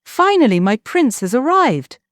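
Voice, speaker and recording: Woman doing a shrill, greedy young princess. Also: sing-song, moderate pace, no echo, clean studio sound. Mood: gleeful. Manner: demanding, shrill shouting. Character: greedy bossy young princess, later turned frog.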